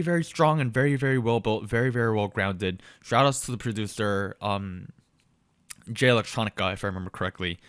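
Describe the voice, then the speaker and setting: A man's voice: mid-range voice, a bit bright, no echo. A man co-hosting a music podcast in casual chat, rambling with frequent ums and self-corrections. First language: Korean. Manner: rambling, many ums and restarts, laughs at himself. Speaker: podcast co-host.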